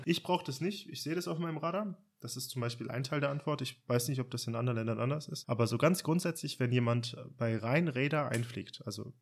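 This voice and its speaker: A man with an ordinary mid-pitched voice talks through how air traffic control works. He explains in patient, practical detail and often hedges what he says. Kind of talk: casual podcast chat.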